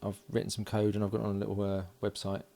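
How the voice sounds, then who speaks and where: A man giving relaxed, matter-of-fact running commentary as he solders at a home workbench, recorded by an overhead camera. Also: lowish voice, rapid-fire, vocal fry. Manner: mutters along while working, relaxed and matter-of-fact. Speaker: electronics hobbyist. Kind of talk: casual build commentary.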